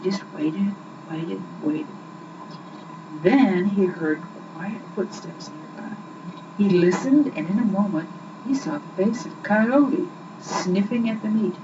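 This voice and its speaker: A young woman reading a children's story aloud with expressive, scripted narration, on an old amateur recording. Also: medium pitch, slow, distant mic. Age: young adult. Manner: expressive, acts out the dialogue. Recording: muffled, noisy old amateur home recording.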